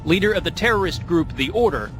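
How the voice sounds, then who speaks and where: High-pitched male news anchor. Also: lively intonation, fast, over music. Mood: formal. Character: formal male news anchor in a space game. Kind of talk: scripted news broadcast.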